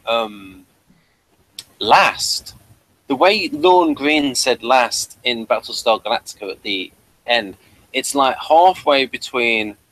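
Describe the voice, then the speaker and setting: Man, podcast host, medium pitch, casual episode commentary, halting and repetitive phrasing. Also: a bit bright, no echo, low-quality recording.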